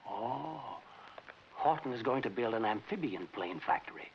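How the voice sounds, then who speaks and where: A man's voice: medium pitch, animated, normal speed, close to an everyday voice. An actor playing a greedy, smug middle-aged businessman with oily courtesy in a 1940s comedy film. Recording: muffled, noisy 1940s film soundtrack.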